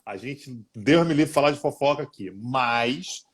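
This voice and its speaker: A man's voice: medium pitch, expressive, unhurried, husky. A man co-hosting a live-streamed podcast, joking and teasing in an informal way with casual swearing. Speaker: podcast co-host. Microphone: streaming live on social media.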